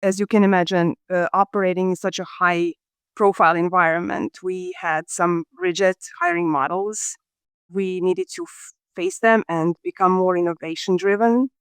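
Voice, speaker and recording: Smooth, measured, businesslike middle-aged woman from Croatia, dry close mic. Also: lowish voice, steady tone, no echo. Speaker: guest, talent acquisition leader. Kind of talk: podcast interview answers.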